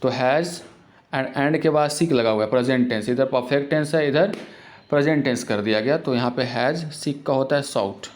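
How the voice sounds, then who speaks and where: A man teaching English grammar for exams, explaining in Hindi and reading English example sentences out slowly. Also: medium pitch. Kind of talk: exam-prep grammar lesson.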